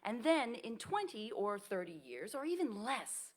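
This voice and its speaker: A woman's voice: on the high side, big pitch swings, a little slow. Older female professor of nursing, played by an actress, fond and earnest stage delivery, widely varied intonation. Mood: wry, earnest. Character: aging woman nursing professor, fond, encouraging, reassuring friend. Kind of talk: staged play reading.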